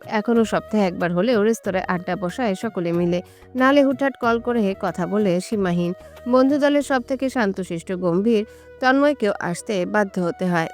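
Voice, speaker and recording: A woman reading a scripted romantic story as its narrator. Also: mid-range voice.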